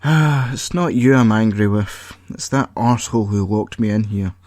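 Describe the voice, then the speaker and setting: A man acts out a sulky male outcast in an audio story who trusts nobody. He grumbles with bitter sarcasm, in a lowish voice with a very dry sound. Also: normal speed, darkish tone, no echo, lightly acted. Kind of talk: audio story character acting.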